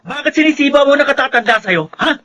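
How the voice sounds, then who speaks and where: An actor playing a protective, resentful young student son with an aggrieved, confrontational manner in a Filipino radio drama. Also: male voice, extremely high pitch, expressive, fast talker, pushed and bright, slight background noise, exaggerated voice. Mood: indignant.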